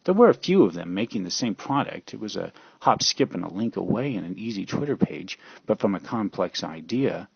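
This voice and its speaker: Male narrator for amateur radio drama: low, rough voice, brooding theatrical read, lo-fi sound. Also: very animated, brisk, hardly any pauses, dry recording. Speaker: storyteller narrator. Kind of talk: dramatic first-person fan fiction narration.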